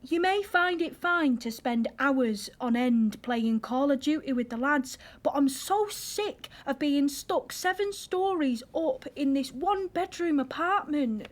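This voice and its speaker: A woman's voice: high-pitched, expressive, a little slow, hardly any pauses. A woman acting in an audio drama as an emotional, earnest young girlfriend with a British accent, frustrated and hurt. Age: young adult.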